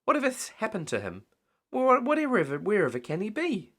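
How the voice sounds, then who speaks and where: A man's voice: high voice, wildly swooping pitch, moderate pace, fairly bright tone, voice pushed up, full character voice. A man voicing a lighthouse keeper's fretful, easily astonished older wife in a storybook, breathless and exclamatory. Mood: worried.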